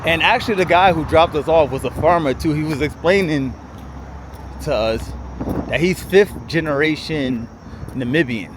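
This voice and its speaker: A man filming a casual travel vlog while walking outdoors through quiet city streets, rambling chattily with lots of "like" and "um". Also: a little high, moderate pace. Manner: rambling, chatty, many "like" and "um" fillers. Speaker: travel vlogger. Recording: outdoor street noise and traffic.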